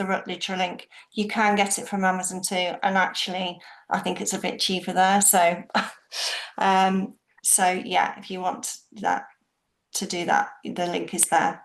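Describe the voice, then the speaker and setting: A woman with a mid-range voice chairs the questions at an online book launch. She keeps things moving in a brisk, friendly way, heard over a video call from an echoey room. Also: medium pitch. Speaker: event moderator.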